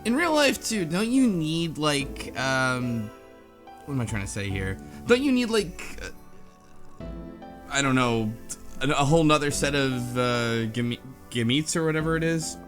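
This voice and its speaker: A woman's voice: a bass voice, big pitch swings, measured pace, soft background music. A woman co-hosting a casual podcast, tossing in wry asides and groping for her words. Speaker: podcast co-host. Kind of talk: casual joking chat.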